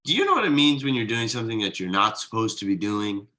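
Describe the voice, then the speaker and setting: A man's voice: fairly low pitch, sing-song, fast, sharp tone. A man playing an exhausted, easygoing thirty-something slacker dad in a casual, hesitant comedy table read. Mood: gentle, explaining.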